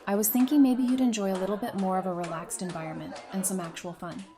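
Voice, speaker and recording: A woman's voice: medium pitch, steady tone, quick pace, music in the background, natural voice. A woman playing a friendly, easygoing survivor in an audio drama, chatty, informal and reassuring. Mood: upbeat casual. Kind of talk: fan audio drama acting, casual dialogue.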